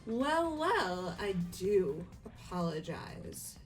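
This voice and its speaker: A woman voicing a refined, calmly competitive young lady in a fan-voiced game, with measured, polite and precise speech. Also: lowish voice, soft background music, exaggerated voice, rough recording. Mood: composed, knowing. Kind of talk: amateur voiced video game playthrough.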